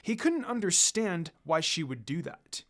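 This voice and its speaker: Male story narrator, fairly high voice, very varied intonation, dramatic delivery of a written fantasy tale. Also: very animated, unhurried. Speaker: fan fiction reader, narrator.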